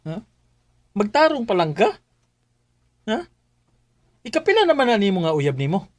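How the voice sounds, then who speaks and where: Man as teasing young best friend, Bisaya accent, animated swings, higher voice, radio drama banter. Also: moderate pace, dry recording. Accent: Filipino, Bisaya. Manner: bantering, questioning tags. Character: teasing young male photographer buddy, cheerful and pushy.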